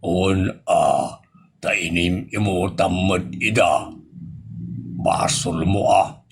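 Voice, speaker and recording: A man's voice: sits low, fairly even intonation, slow pace, creaky, a little hiss or hum, full character voice. A man playing a broke, resigned friend in a comedy audio drama, drawling hesitantly and placatingly.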